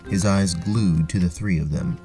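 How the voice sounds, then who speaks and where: Deep-voiced male fantasy narrator. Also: moderate pace, dark tone, recorded from a distance, soft background music. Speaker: narrator, game master. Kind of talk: scripted fantasy story narration.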